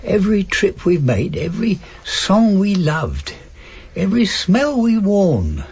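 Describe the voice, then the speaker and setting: An actor playing an elderly working-class husband, gentle, hesitant and loyal, in a naturalistic radio drama. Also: male voice, high-pitched, very animated, unhurried, slightly rough, dull audio, heavily acted. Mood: tender. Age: older.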